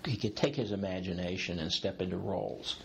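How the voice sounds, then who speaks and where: A man in his late sixties answers interview questions in a low, deep voice. He muses at length and circles back on his own sentences. A faint hum of room ambience sits behind him. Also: fairly quick, gravelly. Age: 60s. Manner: reflective, rambling with restarts, occasional laughs. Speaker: executive coach, guest. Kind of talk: interview conversation.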